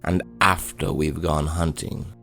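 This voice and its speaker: Man acting a very deep, gravelly tired father. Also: level tone, slow, pushed and bright, faint music behind, full character voice. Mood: gently stern. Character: tired, gentle father scolding his young son. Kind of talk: fantasy audio drama acting.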